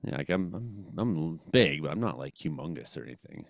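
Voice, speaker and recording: A man's voice: very deep voice, very animated, fairly quick, creaky, no room sound, clean studio sound. A man with a Western Canadian accent hosts a comedy weather show in casual, rambling, slangy chat, joking and exclaiming as he comments.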